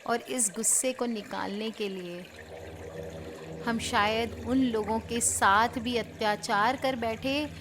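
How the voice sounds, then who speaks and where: An Indian woman leading a spiritual healing guided meditation in measured short phrases with pauses between them. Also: fairly high pitch, steady tone. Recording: clear background noise of trickling water.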